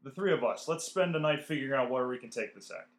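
A man playing a smooth-talking, scheming talent agent in an old-time radio comedy, with folksy salesman patter. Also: medium pitch, expressive, fast, lightly acted. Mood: smooth dealmaking.

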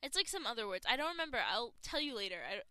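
Bright, clear young woman chatting. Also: high voice, lively intonation, racing. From Boston area, USA. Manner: chatty, many fillers and restarts. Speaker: podcast co-host. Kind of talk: casual chat.

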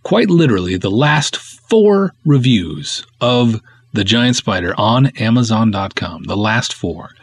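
A man's voice: fairly low pitch, lively intonation, unhurried, breathy edge, dry recording. A man hosting a podcast in a casual, conversational style, talking and reading aloud with wry, snarky sarcasm. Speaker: podcast host and filmmaker.

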